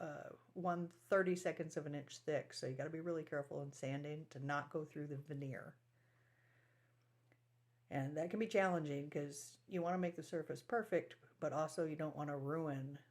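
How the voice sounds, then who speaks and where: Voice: mid-range voice, creaky. Casting: DIY restoration host with a mid-range voice, informal and folksy, talking through hands-on work step by step. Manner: folksy, colloquial phrasing. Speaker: host of a restoration project video. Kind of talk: casual how-to narration of a restoration project.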